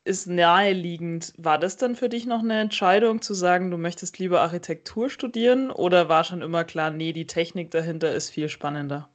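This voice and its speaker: A woman's voice: fairly low pitch, fairly quick, few pauses. A woman co-hosting a podcast, asking casual, probing questions. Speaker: podcast co-host. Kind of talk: podcast interviewing.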